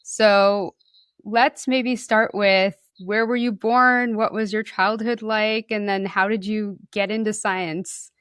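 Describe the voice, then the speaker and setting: A woman's voice: mid-range voice, steady tone, slow, a bit bright, clear, resonant tone, dry recording, clean studio sound. A young woman hosting a science podcast, upbeat and friendly as she welcomes listeners and poses questions. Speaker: podcast host.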